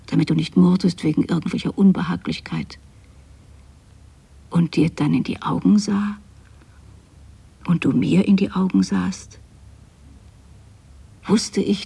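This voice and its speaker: Lonely bitter mum in a radio play, very raspy n creaky, hushed and intimate. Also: female voice, on the low side, fairly even intonation, a little slow, long pauses, full character voice. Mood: tender, mournful. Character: lonely, possessive, bitter mother of a grown son.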